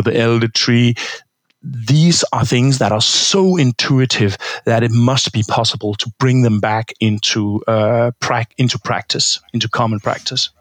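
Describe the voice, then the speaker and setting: A man from Denmark answers interview questions at an even middle pitch, pausing often with fillers and restarting his phrases. The sound is dry and close, with little room in it. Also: no room sound. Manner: thoughtful, halting, many fillers and restarts. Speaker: guest, historian of religion.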